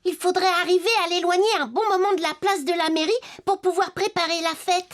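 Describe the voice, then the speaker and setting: Very high-pitched little toy boy. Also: fairly even intonation, quick pace, over a noisy crowd. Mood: worried. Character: cheerful, resourceful little wooden toy boy. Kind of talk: animated children's cartoon dub. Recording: loud music behind the voice.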